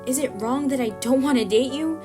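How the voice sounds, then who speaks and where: An awkward young camera operator, voiced by a woman in an audio drama, talks over clear music. The delivery is hesitant and rambling, full of anxious self-doubt. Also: sits high, brisk, voice pushed up, lightly acted. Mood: earnest, anxious.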